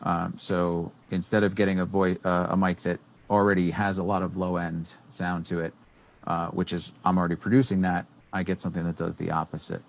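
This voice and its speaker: A very low-voiced man in his thirties talks through audio gear at a relaxed, unscripted pace. He wanders with frequent fillers and restarts, speaking into a studio microphone in a small room. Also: fairly quick, vocal fry. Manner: rambling, many fillers and restarts. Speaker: co-host. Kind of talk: casual educational tech talk. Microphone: studio microphone on boom arm.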